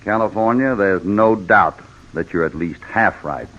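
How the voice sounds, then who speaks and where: A low-pitched American cowboy hero, steady, shrewd and in command. He asks his questions in a measured way, as in a vintage radio western, on a slightly muffled old recording. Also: very noisy. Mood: businesslike.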